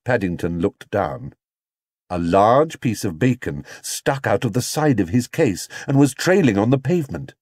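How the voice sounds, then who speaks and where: A man's voice: lowish voice, sing-song, normal speed, darkish tone, breathy edge, no echo, studio quality. A man reading a scripted children's story as an audiobook narrator.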